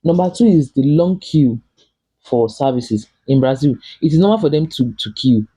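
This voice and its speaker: Husky Nigerian man, dark mellow voice. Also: mid-range voice, lively intonation, moderate pace. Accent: Nigerian English. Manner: chatty and emphatic, repeats and restarts phrases. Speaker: vlogger living abroad. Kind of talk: casual advice vlog.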